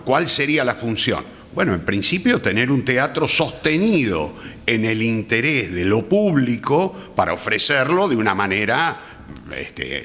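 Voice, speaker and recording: Argentine man, animated pitch, reverberant hall. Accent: Argentine Rioplatense. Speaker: panel guest. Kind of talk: reflective panel conversation. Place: theatre, speaking on stage.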